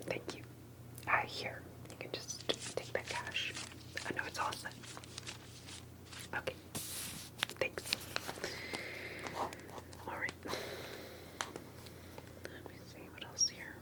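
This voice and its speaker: A woman speaking entirely in a breathy whisper, performing an ASMR interview roleplay with gentle, hesitant questioning and pauses. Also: low-quality recording.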